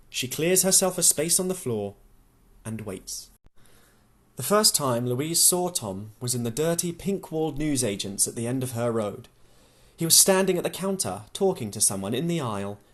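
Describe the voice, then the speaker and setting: A man reads literary fiction as an audiobook narrator. His medium-pitched voice moves widely in intonation, and he phrases dramatically, in short, deliberate units.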